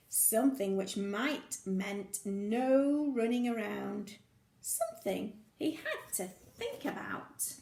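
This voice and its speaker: Woman, high voice, slow pace, children's story read-aloud, expressive, playful, roomy echo. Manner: expressive, playful sound effects, exaggerated delivery. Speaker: teacher reading a story to young children. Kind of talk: animated children's read-aloud with questions to the listener.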